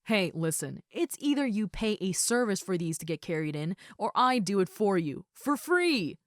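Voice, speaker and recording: Woman doing a buff friendly ogre girl roommate for roleplay audio, easygoing n chatty, smooth voice, really dry close recording. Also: mid-range voice, big pitch swings, moderate pace, no echo, lightly acted. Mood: playful, reassuring. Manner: casual, chatty, with hesitant asides. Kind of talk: roleplay audio acting, addressed to the listener.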